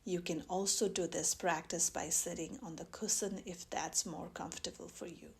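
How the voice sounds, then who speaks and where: A woman teaching mindfulness, guiding a meditation in a gentle, measured way with long pauses between phrases. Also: on the low side, fairly even intonation. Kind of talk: guided meditation instruction.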